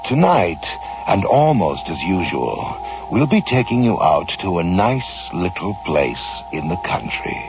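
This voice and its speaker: A man playing a sly, ominous horror anthology host, introducing a 1980s radio play with wry, drawn-out phrasing. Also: sits low, sing-song, over music. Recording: dull, noisy old radio recording.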